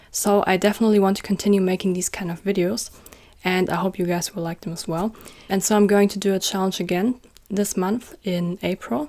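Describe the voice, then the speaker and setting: A woman's voice: mid-range voice, mellow. A young woman chatting casually to camera in a vlog, filling her talk with "like" and joking about herself. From northern Germany. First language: German. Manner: chatty, self-deprecating, many filler "like"s. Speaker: YouTuber.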